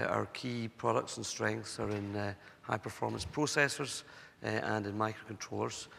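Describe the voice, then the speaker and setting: A man with a cold and a hoarse voice, introducing himself on a conference panel in a measured way with many fillers. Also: fairly low pitch, pushed and bright, slight background noise. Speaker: panelist, semiconductor executive. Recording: echoey conference hall.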